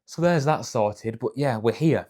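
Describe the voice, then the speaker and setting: A man's voice: medium pitch, a little slow, darkish tone. A young man, chatting casually as a fitness vlogger and rambling with frequent self-corrections, talking into a clip-on mic. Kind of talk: casual vlog chat. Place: outdoors by a busy road; cold garage gym, echoey.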